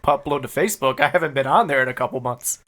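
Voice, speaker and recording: Man, medium pitch, podcast co-host; playful, off-the-cuff comic banter. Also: fast, a bit bright. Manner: playful, riffing.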